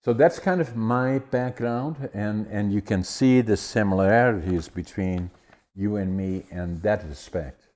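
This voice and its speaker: Older Dutch-accented male guest, mellow and low, animated storyteller. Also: a little slow. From Netherlands. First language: Dutch dialect. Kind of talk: conversational interview.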